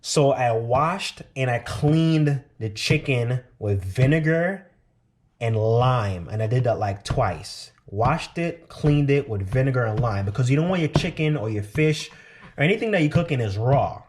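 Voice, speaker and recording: A Jamaican-accented man chats straight to his viewers in a relaxed, storytelling way, walking them through home cooking. His voice sits in an ordinary middle pitch. The sound is picked up by a camera mic in a small room with plenty of echo. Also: a little slow. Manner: chatty, storytelling, addresses viewers directly. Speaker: cooking vlogger. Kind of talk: casual cooking show chat. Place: small apartment living room, then home kitchen.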